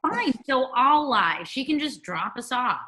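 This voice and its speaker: A bold, bossy American schoolgirl of thirteen, read by a grown woman at a table read. The voice is fairly high, with lively rises and falls. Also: a little slow, heavily acted. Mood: scheming. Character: bold, bossy thirteen-year-old schoolgirl best friend. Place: room at home, on a group video call.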